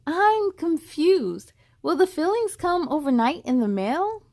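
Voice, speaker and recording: A quick-tempered little girl read by a woman tells her own storybook tale. The voice is high and slow, with emphatic, sing-song phrasing, recorded dry and close. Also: sing-song, darkish tone, no echo, heavily acted. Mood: worried, confused. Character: young girl storybook narrator, easily angered big sister.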